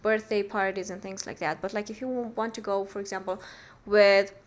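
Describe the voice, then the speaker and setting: A woman's voice: medium pitch, little pitch movement, brisk. Give me a young woman from Romania, Romanian native, talking casually and hesitantly as a podcast guest. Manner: thoughtful, hesitant, many fillers. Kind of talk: casual interview chat. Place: small bright studio room. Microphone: studio mic on arm.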